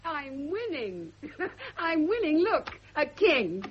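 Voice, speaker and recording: A woman's voice: high-pitched, sing-song, slow, heavily acted. An actress in a radio drama playing a bitter, jealous, obsessed woman who broods and repeats her phrases. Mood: childishly gleeful. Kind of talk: classic radio drama acting. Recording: dull, slightly noisy old radio recording.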